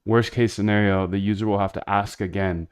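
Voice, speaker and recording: A man with a fairly low voice talks as a developer at work. He is informal and casual, thinking aloud as he goes. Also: fairly even intonation, a little fast, smooth. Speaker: developer hosting a coding video. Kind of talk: casual talk while working and testing.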